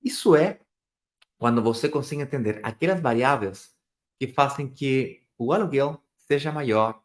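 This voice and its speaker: A man's voice: mid-range voice, moderate pace. A man from Chile with a Chilean Spanish accent, whose first language is Spanish, hosting a live stream in a chatty, joking way and sometimes searching for words. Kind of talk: casual live stream talk.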